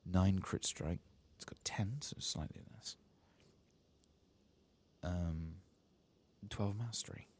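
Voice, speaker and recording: A man softly speaking and whispering ASMR game commentary, muttering to himself as he goes. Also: deep voice, slow pace, long pauses. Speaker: host of an ASMR game playthrough.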